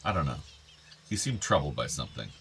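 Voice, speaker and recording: An actor playing a gentle, affectionate older father with regrets, in a naturalistic radio drama. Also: a bass voice, big pitch swings, brisk, a little hiss or hum, full character voice. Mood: puzzled, worried. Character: doting, regretful older father of two grown sons.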